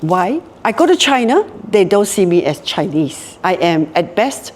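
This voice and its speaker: A woman's voice: fairly low pitch, big pitch swings, a little slow, a little mellow, long reverb tail, a little hiss or hum. A Singaporean woman in her later years, speaking reflectively in an interview and often repeating words as she thinks. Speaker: guest, hotel group co-founder. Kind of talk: casual interview talk.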